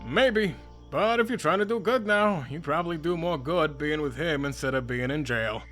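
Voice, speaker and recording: A man's voice: on the high side, moderate pace, a bit bright, faint music behind, lightly acted. A man playing a laid-back, wry skeleton with a casual, dry, needling way of talking in a fan comic dub. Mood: grave, blunt.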